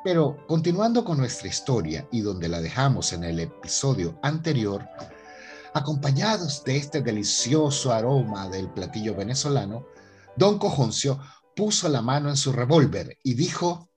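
A man's voice: medium pitch, big pitch swings, moderate pace, a little mellow, faint music behind. A man hosting and narrating a radio soap opera from a script in a theatrical presenter's manner. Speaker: host and narrator.

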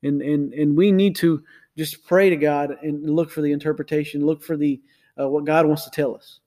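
30s guy chatting about faith, slightly high voice, keeps restarting sentences. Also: fairly even intonation, normal speed, mellow. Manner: rambling, self-correcting, many restarts. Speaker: podcast co-host.

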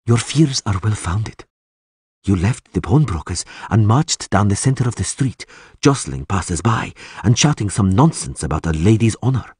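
A man's voice: fairly low pitch, animated, a little mellow, slightly rough, dry recording. A man voicing a sardonic elf prisoner in a fantasy audiobook, precise and dryly sarcastic. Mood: quiet, dry. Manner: dry sarcasm, measured, precise wording. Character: sardonic male elf prisoner, sneering, coolly articulate.

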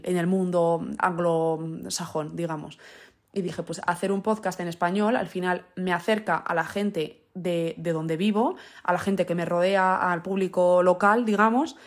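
Young woman, lowish voice, solo podcast, rambling along with lots of ums. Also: a little mellow. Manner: thinking aloud, with many fillers and trailing asides. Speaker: podcast host. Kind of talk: casual solo podcast monologue.

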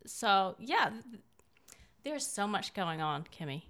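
Woman cohost talking politics, medium pitch, opinionated and emphatic, chatty style. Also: big pitch swings, unhurried, a bit bright. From born in China. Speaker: talk show co-host. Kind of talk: conversational political commentary.

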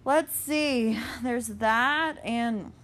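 A woman's voice: on the high side, drawn-out words, fairly bright tone. A young woman hosting her own podcast, chatting casually and venting bluntly with plenty of swearing. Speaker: podcast host. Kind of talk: casual solo podcast chat.

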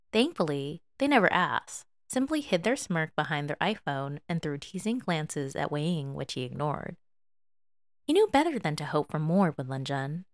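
A woman narrates fiction with an animated, expressive delivery. Her voice sits a little low for a woman and moves widely in pitch, recorded dry and close. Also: no room sound.

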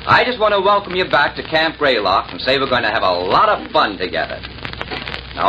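An avuncular camp director, with a widely swinging, animated delivery and hesitant hems. It is 1940s radio acting on a dull, faintly hissy recording. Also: male voice, medium pitch. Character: genial, hesitant adult male summer camp director. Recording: muffled, faintly noisy 1940s radio broadcast.

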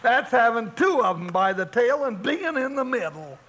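An actor playing a folksy, joking cowboy sidekick with an American drawl in a 1950s radio western. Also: male voice, very high voice, sing-song, moderate pace, fairly bright tone, exaggerated voice. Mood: folksy, amused. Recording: noisy old radio recording with clicks.

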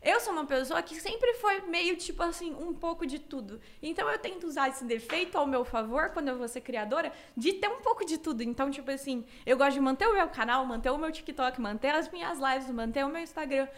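A woman's voice: high voice, expressive, a little fast, hardly any pauses. A young Brazilian woman from Cuiabá in her twenties, chatting as a streamer guest in slangy talk with frequent laughs. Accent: Brazilian, mixed Cuiabá and São Paulo. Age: 20s. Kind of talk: casual chat.

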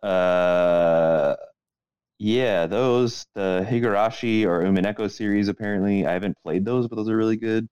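A man's voice: lowish voice, moderate pace, darkish tone, clean tone, dry recording, highs cut off. A man co-hosting a casual podcast in a laid-back, hesitant way, often hedging what he says. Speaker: podcast co-host.

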